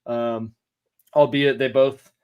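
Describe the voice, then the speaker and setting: A man appearing as a guest sports writer on a casual podcast over a video call, measured and hedging with "um" and "you know". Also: mid-range voice, flat delivery, smooth, narrow, tinny audio.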